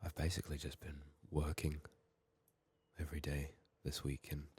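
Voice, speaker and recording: A man who is ill and hoarse, speaking in a subdued, halting, soft ASMR murmur that slips into whispers as he narrates a game. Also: a bass voice, monotone. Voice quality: husky, raspy, breathy. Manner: subdued, hushed, halting with long pauses. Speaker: ASMR gaming streamer.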